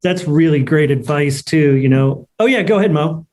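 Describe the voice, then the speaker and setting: A man's voice: on the high side, expressive, moderate pace, a little mellow. A man moderating a panel conversationally, encouraging the guests and affirming what they say. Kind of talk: conversational hosting.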